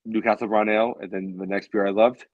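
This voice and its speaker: A man's voice: lowish voice, level tone, fast talker, no echo, highs cut off. A man in his late thirties chatting casually as a show co-host, in a rambling way that repeats phrases for emphasis. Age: late 30s. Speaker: co-host of a beer hangout show. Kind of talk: casual chat.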